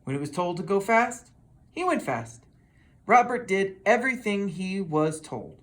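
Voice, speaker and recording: A storybook reader tells a children's tale aloud. The pitch moves around in a lively way, and the delivery is expressive and dramatic. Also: unhurried. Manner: expressive, with dramatic sound words.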